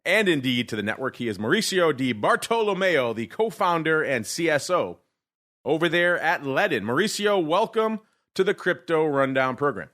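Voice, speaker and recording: A man's voice: on the high side, sing-song, normal speed, fairly bright tone. A man hosting a podcast, introducing and questioning a guest in polished, wordy broadcaster phrasing. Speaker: podcast host. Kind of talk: scripted show host talk.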